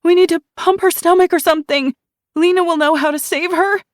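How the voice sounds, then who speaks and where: Woman voicing a frantic, shrill teenage girl. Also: steady tone, brisk, no room sound, studio quality. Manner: desperate, pleading, shrill. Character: frantic teenage girl begging her mother.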